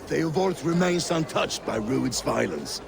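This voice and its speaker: An actor playing a brooding, doubtful Danish warrior in a video game, gravelly and wry. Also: male voice, fairly high pitch, lively intonation, measured pace, exaggerated voice. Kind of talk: video game drama acting. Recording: clear outdoor game ambience noise.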